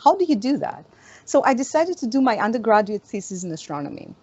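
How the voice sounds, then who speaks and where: Woman telling animated stories. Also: a little high, fast, husky, no echo, dull audio. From Greece. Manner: animated storytelling, laughs often. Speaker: astronomer, guest. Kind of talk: interview answers. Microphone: video call.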